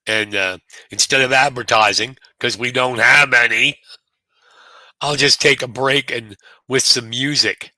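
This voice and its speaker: A man with a hoarse voice doing live wrestling commentary from a press box, running through the rankings. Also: mid-range voice, steady tone, bright, forceful tone, no room sound, poor audio. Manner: rapid rundown of rankings, conversational. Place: press box at a sports venue.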